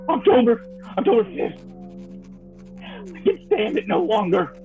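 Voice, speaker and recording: A male actor playing a haunted, sleepless man who fears for his sanity, in a halting, theatrical old-time radio drama monologue. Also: very high-pitched, big pitch swings, dark tone, full character voice. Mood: desperate. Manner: theatrical, halting, archaic literary diction. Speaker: actor in a live audio drama. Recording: thin phone-like audio with faint music.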